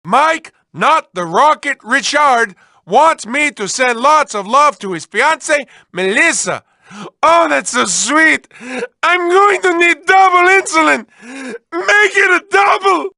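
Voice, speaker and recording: A manic cartoon mad scientist, piercingly sharp and very high. He draws his words out slowly and theatrically. He is a whiny, lovelorn game villain who swings from cooing to wailing. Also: lively intonation, dry recording, studio quality, exaggerated voice. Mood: sardonic, then hysterical. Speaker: video game villain reading developer messages. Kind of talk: exaggerated comic cartoon voice acting.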